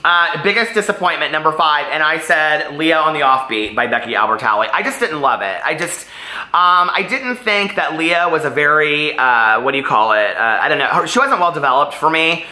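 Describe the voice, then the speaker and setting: A man's voice: on the high side, animated, normal speed, bright, forceful tone, echoey room, rough recording. A man talking casually in a book vlog, chatty, gushing and emphatic, wandering off on tangents. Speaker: book vlogger.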